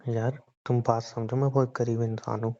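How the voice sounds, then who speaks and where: A man's voice: medium pitch, level tone, normal speed, warm tone. A young man joking and teasing his girlfriend in a casual phone call. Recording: dull lo-fi call recording.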